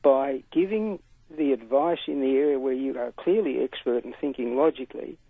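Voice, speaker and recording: A man's voice: mid-range voice, moderate pace, no room sound. An older man calling in to a radio show, talking earnestly and at length over a tinny phone line. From Australia. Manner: earnest, emphatic, talkative. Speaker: caller.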